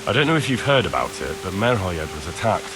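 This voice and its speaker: Earnest, lowish-voiced young medieval commoner. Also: male voice, big pitch swings, fast. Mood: urgent. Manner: direct, earnest. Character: earnest, plain-spoken young medieval commoner seeking help. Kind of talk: video game dialogue acting. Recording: music clearly behind the voice.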